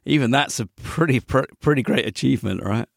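A man's voice: medium pitch, a little fast, vocal fry, dry recording, studio quality. A man hosting a casual podcast interview, joking about himself as he goes. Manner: jokey, self-deprecating. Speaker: podcast host.

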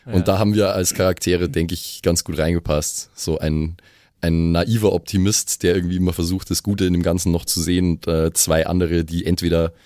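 A man's voice: low-pitched, flat delivery, fairly quick, a little mellow, dry recording, clean studio sound. A man co-hosting a podcast, talking casually with the others. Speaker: podcast co-host. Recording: music behind the voice. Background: music.

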